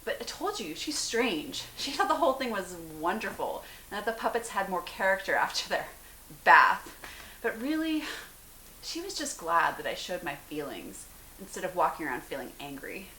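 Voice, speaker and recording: A woman's voice: medium pitch, big pitch swings, moderate pace, sharp tone. A woman acting a candid, wry teenage girl in an amateur dramatic dialogue reading. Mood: wry, animated. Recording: roomy echo, hiss in background.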